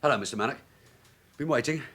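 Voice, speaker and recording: An actor playing a shrewd, guarded veteran police sergeant in a radio drama, terse and casual. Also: male voice, mid-range voice, quick pace, fairly bright tone, lightly acted. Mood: businesslike. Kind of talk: naturalistic radio drama acting.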